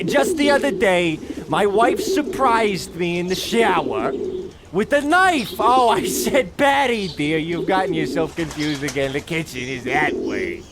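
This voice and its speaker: High-pitched blustery husband acted by a man, swooping intonation, indignant, bombastic, clueless, comic radio play. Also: exaggerated voice. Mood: defensive. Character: blustery, touchy, clueless husband, cranky and proud. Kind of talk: comic old-time radio play acting.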